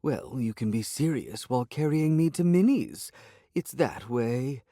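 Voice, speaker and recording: A man's voice: medium pitch, lively intonation, unhurried, warm tone, dry recording, clean studio sound, pitched above the performer's own voice, lightly acted. A man voicing a haughty, demanding female talking cat with a snippy, imperious manner, reading an audiobook. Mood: teasing.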